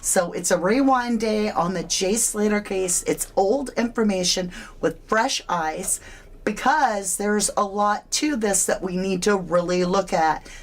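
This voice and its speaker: A woman's voice: medium pitch, a little slow. A woman hosting a true crime live stream, chatting casually and greeting her viewers by name. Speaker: true crime livestream host.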